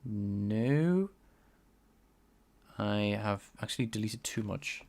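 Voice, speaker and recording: A man's voice: lowish voice, expressive, takes it slowly, darkish tone, a little hiss or hum. A man with a light Scouse accent, live-streaming game programming and chatting with viewers while he works. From England. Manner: thinks aloud, answers chat, enunciates carefully. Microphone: desk microphone.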